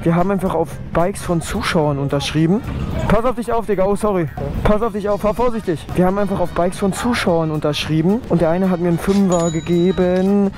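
A hoarse young man talks in excited, slangy bursts while riding through a city at night. His voice sits fairly high and carries through a helmet mic. Music plays clearly underneath him. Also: brisk. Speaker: motovlogger. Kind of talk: casual helmet-cam commentary. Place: outdoors on a city street at night, riding a motorbike. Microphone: helmet intercom mic.

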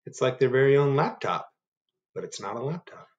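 A middle-aged man reading a picture book aloud to children at home on a webcam, animated and asking them questions. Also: medium pitch, a little fast, echoey room, dull audio. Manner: animated, asks the young audience questions. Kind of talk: children's storytime read-aloud. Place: home living room. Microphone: webcam at desk.

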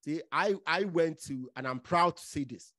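A man's voice: a little high, normal speed, fairly bright tone, dry recording, studio quality. A man from Nigeria whose first language is Igbo, hosting a podcast, who interrupts, repeats words and keeps checking with "okay?". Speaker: podcast host.

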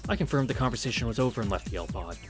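A man's voice: medium pitch, lively intonation, very fast. A young man reading a visual novel aloud and adding casual, wry asides. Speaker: let's-play narrator. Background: game music.

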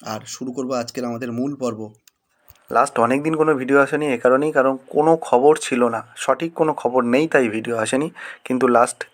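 Man giving halting updates. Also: mid-range voice. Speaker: exam-prep YouTube channel host. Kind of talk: informal news update to students.

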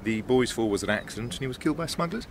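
An English actor playing a haughty, blustering titled villain in a radio drama, speaking formally. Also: male voice, mid-range voice, lively intonation, very fast, a little hiss or hum, lightly acted. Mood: suave, relieved. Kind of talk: BBC radio drama acting.

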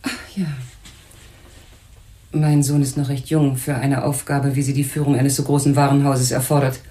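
An actress playing a proud, bitter older widow and mother in a German crime radio play, speaking in a formal, genteel, measured way. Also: a bass voice, level tone, dark tone. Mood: polite, composed.

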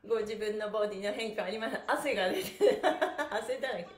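A woman's voice: medium pitch, fairly quick, echoey room. A middle-aged woman hosting an upbeat livestream exercise class, chirpy, encouraging and full of exclamations, recorded a little way from the device in a small bare room. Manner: animated, chirpy, exclaiming and encouraging, often laughing. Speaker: pantomime and stretching instructor, livestream host. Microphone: device mic a step or two away.

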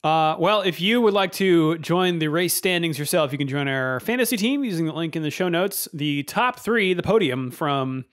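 A man with a fairly high voice hosts a casual podcast. He speaks conversationally, close to the microphone in a room at home. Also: lively intonation, moderate pace, hardly any pauses, a bit bright. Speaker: podcast host. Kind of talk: casual chat. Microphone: close studio microphone with headphones.

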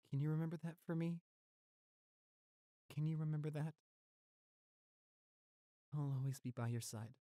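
Man acting as devoted boyfriend, soft halting delivery, slow pace, mid pitch, dry close sound, intimate audio roleplay. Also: no echo, lightly acted. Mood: sleepy, loving. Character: gentle, devoted, weakened boyfriend of a vampire.

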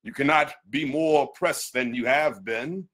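A man's voice: mid-range voice, unhurried, fairly bright tone. A man reading a historical address aloud in a solemn, declamatory, oratorical style. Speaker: reader of a historical speech.

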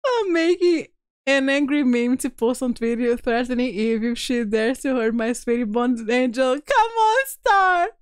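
A woman's voice: sits high, lively intonation, a little slow, clear, resonant tone, dry recording, clean studio sound. A woman livestreamer chatting casually and reacting in a giggly way while playing a game. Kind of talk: casual gameplay stream chat.

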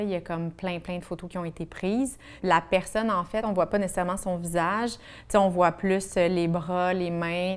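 A woman with a Québécois accent talks casually about her photography, with plenty of fillers along the way. Her voice is low-pitched, smooth and resonant, with little rise and fall. She is recorded in her studio on a lapel mic. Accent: Québécois French. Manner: chatty, many fillers, gestures while talking. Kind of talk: casual documentary interview. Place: artist studio.

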